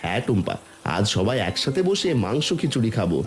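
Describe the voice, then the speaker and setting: A man's voice: on the low side, very animated, brisk, faint music behind. A man voicing a kindly village food seller and cheerful father in a cartoon. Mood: warm, fatherly. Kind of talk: cartoon voice acting.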